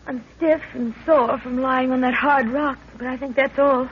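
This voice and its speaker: A woman's voice: high voice, fairly even intonation, moderate pace, lightly acted. An actress playing an anxious, earnest young woman, an explorer's daughter, in a 1930s radio adventure. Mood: shaken, plaintive. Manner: earnest, dramatic. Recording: hissy muffled 1930s radio recording.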